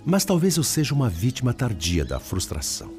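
An actor with a Brazilian accent playing a weary, self-doubting spirit in a radio drama, earnest and melancholy in delivery. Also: male voice, medium pitch, huge pitch swings, a little fast, a little mellow, soft background music. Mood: troubled, frustrated.

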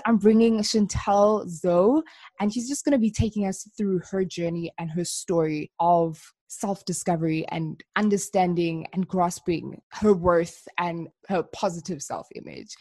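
Excited woman podcast host, gushy and upbeat, super dry close sound. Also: on the low side, dry recording. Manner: enthusiastic, effusive, gushing praise.

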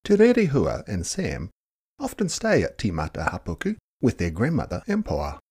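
A man reading a children's picture book expressively, dramatic, with hushed and drawn-out words. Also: fairly low pitch, wildly swooping pitch, husky. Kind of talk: expressive children's story narration.

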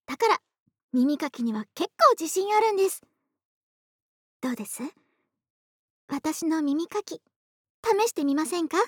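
A voice actress playing a polite, doting young woman next door in an intimate, soft-spoken ASMR roleplay. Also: high-pitched, lively intonation, unhurried, pauses often, no echo, clean studio sound. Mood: politely eager. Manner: soft-spoken, courteous, polite speech, affectionate. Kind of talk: Japanese ASMR situation voice drama, intimate roleplay. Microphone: close studio microphone, dry.

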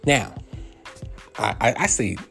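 A man's voice: medium pitch, very animated, slow, vocal fry, sharp tone, faint music behind. A man in his fifties from New York, hosting a solo sports podcast and talking in a rambling way with many fillers and restarts. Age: 50s. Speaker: podcast host. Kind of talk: solo sports commentary monologue.

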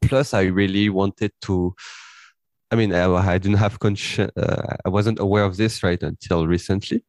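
Laid-back deep-voiced man, French-raised. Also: normal speed, no echo. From France. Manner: relaxed, rambling, with frequent fillers and light laughs. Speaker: podcast guest. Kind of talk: casual interview chat.

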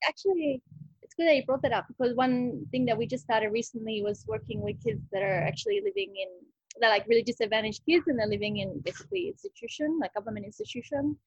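A woman with a slightly high, husky voice talks casually about her work, thinking aloud with frequent "like"s and restarts. The sound is dry and a little dull, as if from a lo-fi recording. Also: expressive, fast, no room sound. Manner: chatty, thinks aloud with many likes and restarts. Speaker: rescue cat cafe owner. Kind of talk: casual interview answers.

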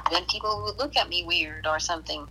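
A woman from Puerto Rico chatting casually on a podcast, hesitant, with restarts and soft laughs. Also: lowish voice, a little fast, a little hiss or hum, low-quality recording. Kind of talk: casual conversation.

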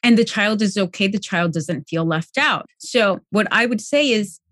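A woman born in Colombia, a native Spanish speaker, hosting a podcast and calmly explaining parenting advice with examples. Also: medium pitch, normal speed, no room sound, clean studio sound. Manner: calm, explanatory, gives examples. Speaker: podcast host, parenting coach. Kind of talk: advice-giving conversation.

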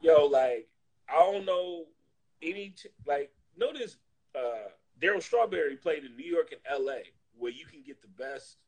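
A man in casual, comedic chat, talking in an animated, slangy, profane joking way. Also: a little high, moderate pace, slightly rough, low-quality recording.

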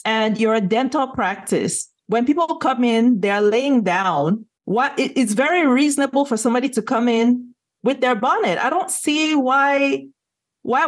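A woman, a podcast co-host, talking casually in an earnest, opinionated way and building her points with rhetorical questions. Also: fairly high pitch. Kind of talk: casual discussion.

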